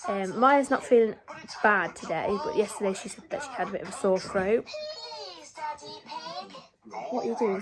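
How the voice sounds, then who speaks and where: A woman vlogging a home video diary while ill, her voice croaky and tired, talking plainly with many pauses and ums. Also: mid-range voice, rough recording. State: croaky voice from illness. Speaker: family vlogger. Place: family home.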